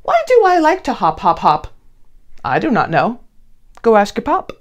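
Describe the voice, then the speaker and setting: A woman, around her thirties, talking and reading to young children in an expressive, emphatic way. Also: a little high, moderate pace. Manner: animated, emphatic, addressing children directly. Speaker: children's read-along host. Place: indoor room, seated before a plain blue wall.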